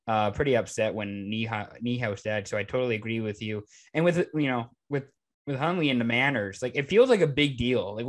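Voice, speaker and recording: An American man in his twenties hosting a casual interview, informal and peppering his speech with "like". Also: medium pitch. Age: 20s. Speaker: podcast host.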